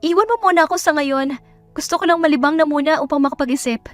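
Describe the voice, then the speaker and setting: Woman acting a high-pitched, emphatic young businesswoman. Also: fast talker, soft background music, close to an everyday voice. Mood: tense, determined. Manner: emphatic, mixes English into Tagalog. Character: stressed young businesswoman, bold, tipsy when drinking.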